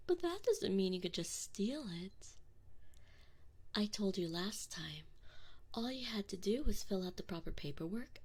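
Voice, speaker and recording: A woman's voice: fairly high pitch, a little mellow, full character voice. A woman voicing a sadistic, smug female alien enforcer in an ASMR roleplay, taunting and condescending, sometimes dropping to a whisper. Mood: menacing, gloating. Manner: slow, taunting, condescending, slipping into breathy whispers. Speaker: audio roleplay performer. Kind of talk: ASMR roleplay acting, addressing the listener.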